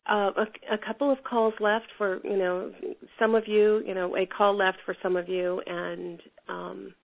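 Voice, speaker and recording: A woman with a cold, sounding a bit hoarse, teaching an online class in a rambling way with lots of fillers and restarts. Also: medium pitch, flat delivery, measured pace. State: has a cold, a little hoarse. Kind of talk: teaching a class over a call. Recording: tinny phone line.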